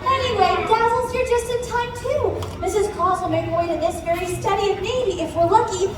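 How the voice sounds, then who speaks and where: A woman playing a bubbly, eager Christmas elf assistant, excitable and playful as she talks to children in a live show. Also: extremely high pitch, a little fast. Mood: bubbly, excited. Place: live indoor attraction with audience. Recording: very echoey room, distant, noisy.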